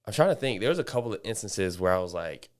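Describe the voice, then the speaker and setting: A young man hosts a relaxed podcast alongside his co-host. His voice is low and smooth, with a clear, resonant tone. He rambles, restarting sentences as he goes. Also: fast. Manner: rambling, many restarts and fillers. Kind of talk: casual chat.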